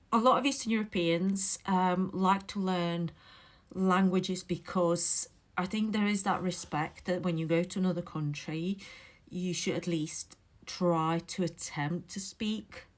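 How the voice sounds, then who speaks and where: Latvian-accented woman talking slowly and thoughtfully. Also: on the low side. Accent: Latvian with a Derbyshire English touch. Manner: thoughtful, many pauses and fillers, careful phrasing. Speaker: memoir author and vlogger. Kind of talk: casual reflective monologue to camera. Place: home, indoors.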